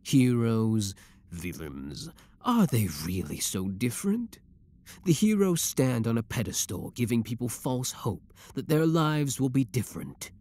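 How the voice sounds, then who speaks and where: A man playing an obsessive, menacing young villain with cold devotion, speaking deliberately and theatrically in a scripted ASMR roleplay. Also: medium pitch, very animated, warm tone. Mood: brooding resolve.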